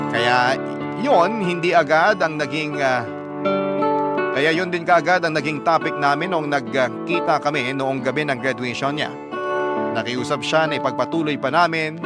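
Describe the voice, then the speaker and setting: A man's voice: on the high side, natural voice. An actor playing a devoted, humble young man who narrates his love story to a radio host in an earnest, confiding way. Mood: resigned, confiding. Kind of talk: dramatized first-person letter narration to a radio host. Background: loud plucked guitar music.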